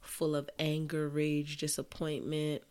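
A woman hosting a casual solo podcast, chatting in a rambling, conversational way. She is hoarse from a sore throat, and English is not her first language. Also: low-pitched, monotone, takes it slowly, darkish tone. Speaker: podcast host.

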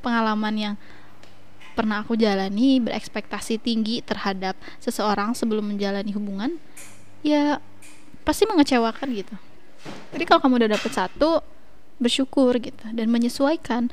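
A woman in her twenties talking as a guest on a casual podcast, relaxed, with hesitant fillers and frequent giggles. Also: a little high, normal speed, long pauses, a little hiss or hum. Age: 20s. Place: coffee shop.

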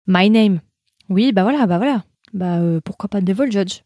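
A woman's voice: mid-range voice, moderate pace, mellow, no echo, clean studio sound. A young woman hosting a casual live chat, talking to viewers in a slangy, rambling way with many fillers. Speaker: host of a live chat about Korean dramas.

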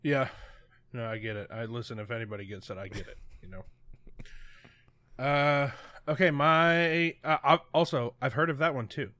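Man, podcast co-host, medium pitch, hesitant, self-deprecating, dry close recording. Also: normal speed, bright, forceful tone, no echo. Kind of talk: casual chat.

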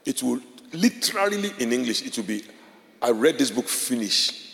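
A man's voice: mid-range voice, big pitch swings, normal speed, vocal fry, a bit bright. A man, a Yoruba speaker, teaching a Bible class as a pastor. He explains step by step, repeats key phrases and keeps checking in with his listeners. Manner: explains step by step, checks in with "all right?" and "okay?". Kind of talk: classroom-style teaching talk. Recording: long hall reverb.

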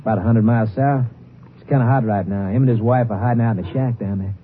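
An American actor playing an eager small-time crook in a 1950s radio drama, with slangy, uneducated, insistent speech. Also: male voice, mid-range voice, fast talker, natural voice. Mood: conspiratorial. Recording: tinny, noisy 1950s radio recording.

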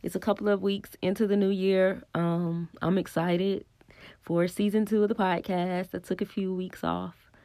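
A woman with a stuffy, congested voice and a scratchy throat from a cold, speaking at a middling pitch. She hosts her own podcast and thinks aloud in an earnest, encouraging way, with frequent ums. The sound is dry and close, with no room echo. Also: flat delivery, normal speed, mellow. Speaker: podcast host. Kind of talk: casual solo podcast monologue on spiritual growth.

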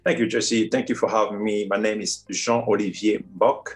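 A man's voice: on the low side, steady tone, moderate pace, faint music behind. A man in his forties from Cameroon with a Cameroonian French accent, answering interview questions earnestly with frequent fillers. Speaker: airline first officer, guest.

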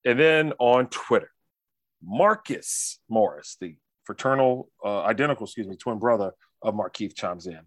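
An American man hosting a casual sports talk show, telling stories in an animated, slangy way with frequent check-ins to the listener. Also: on the low side, normal speed, no echo. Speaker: sports talk podcast host.

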